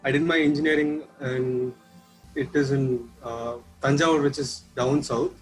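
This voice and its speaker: A man's voice: medium pitch, fairly even intonation, measured pace, husky, soft background music, poor audio. A man from Tamil Nadu, an engineering graduate in a student group, who pushes his own view and cuts others off in arguments. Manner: insistent, interrupts to argue.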